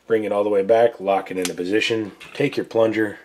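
Hoarse low-voiced man explaining step by step. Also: fairly even intonation, brisk, a little mellow, a little hiss or hum. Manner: plain-spoken, step-by-step instructions. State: losing his voice, hoarse. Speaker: gun reviewer, show host. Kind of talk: casual tabletop demo. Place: indoor workbench.

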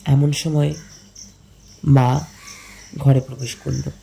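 A man acting as a young villager in love, narrating a tragic cartoon romance. His delivery is slow and pensive, with a mellow, gravelly edge. Light music plays behind him. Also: medium pitch, level tone, slight background noise, poor audio. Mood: pensive, sorrowful. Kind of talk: scripted first-person story narration with dialogue.

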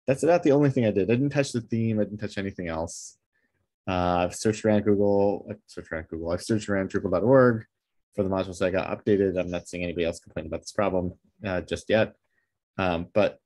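Low-voiced man puzzling over a bug. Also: a little mellow. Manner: hesitant, many fillers, thinking aloud. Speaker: meetup attendee asking for help. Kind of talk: casual video call chat.

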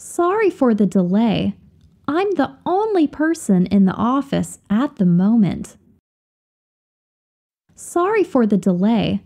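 A woman with a fairly high, mellow voice reads practice sentences at an unhurried pace. Her pitch rises and falls in a lively, animated way. She enunciates carefully, like a teacher setting up drills. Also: vocal fry. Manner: careful, deliberate, each phrase repeated for learners. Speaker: language teacher reading example sentences. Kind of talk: slow, clear drill reading of example phrases.

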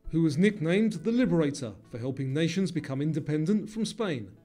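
A man with a fairly high, mellow voice narrates a scripted quiz. He reads in a measured, formal way, with faint music underneath. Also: animated. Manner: measured, formal question reading.

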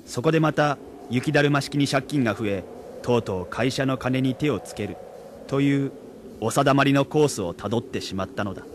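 A man's voice: medium pitch, brisk, background noise, close to an everyday voice. A man acting in a Japanese radio drama as a hapless, rueful salaryman who also narrates his own slide. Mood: wry, resigned. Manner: wry, resigned, theatrical when upset. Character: hapless rueful salaryman sliding into drink and debt.

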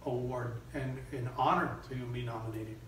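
A middle-aged man from Miami speaks as an interview guest in a halting, conversational way. The recording is very echoey and picked up from a distance, with faint noise. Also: mid-range voice, fairly even intonation, fairly bright tone. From Miami, United States. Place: small indoor room.

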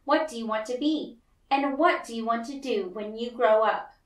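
A woman's voice: fairly high pitch, normal speed, close to an everyday voice. A woman voicing an emphatic, doubting female schoolteacher in a children's storybook. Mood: announcing. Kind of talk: children's storybook read-aloud. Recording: roomy, echoey, distant mic.

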